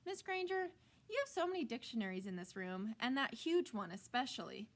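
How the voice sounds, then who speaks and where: A woman's voice: fairly high pitch, big pitch swings, brisk. A woman reading a storybook as a crafty fifth-grade boy who asks eager questions with feigned innocence. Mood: feigned curiosity.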